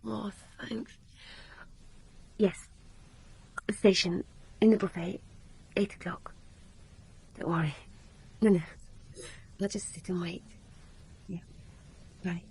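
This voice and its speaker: Young woman for radio play: slow, husky-breathy delivery, candid, eager, quick to bristle. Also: medium pitch, fairly even intonation, a little mellow, exaggerated voice, rough recording. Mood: flustered. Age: young adult. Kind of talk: radio drama acting, partly narrating.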